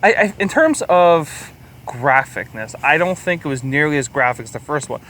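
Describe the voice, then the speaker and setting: A man co-hosting a podcast, chatting casually about films in an animated, sometimes crude way. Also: a little high, moderate pace, a little hiss or hum. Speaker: podcast co-host. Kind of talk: casual film review chat.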